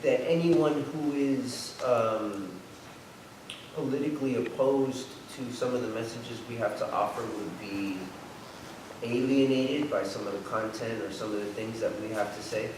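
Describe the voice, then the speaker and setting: A man's voice: medium pitch, measured pace. A man from a theater project putting questions to an audience, hesitant and searching for words. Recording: echoey hall, distant mic, noisy.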